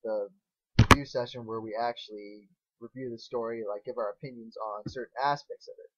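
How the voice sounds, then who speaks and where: A man's voice: medium pitch, steady tone, fairly quick, very noisy. Lo-fi casual man reviewing, rambling and crude. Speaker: co-host reviewing fan fiction. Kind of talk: casual chat, opinionated review.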